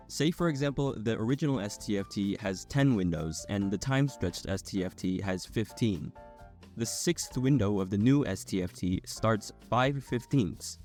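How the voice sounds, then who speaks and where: A man's voice: on the low side, darkish tone. A man delivering a scripted technical explainer voice-over, addressing the listener directly as he explains. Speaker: narrator of an educational explainer video.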